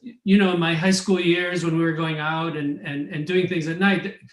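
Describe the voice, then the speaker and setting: A middle-aged man from Argentina gives academic panel commentary. His voice sits a little high for a man, and he speaks thoughtfully, often restarting and repeating his words. The sound is roomy and echoey. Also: fairly even intonation, normal speed.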